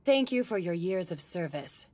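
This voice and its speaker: A woman's voice: lowish voice, big pitch swings, moderate pace, a little hiss or hum. An actress in an English anime dub playing a cold, poised woman assassin who speaks with mocking, threatening composure. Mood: cold threat. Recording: loud music behind the voice.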